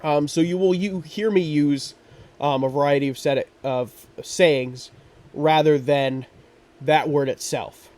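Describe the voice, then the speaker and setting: Male true crime podcast host with a congested, stuffy voice; earnest, halting delivery. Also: fairly high pitch, steady tone, a little mellow, far from the mic. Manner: earnest, with frequent ums and restarts.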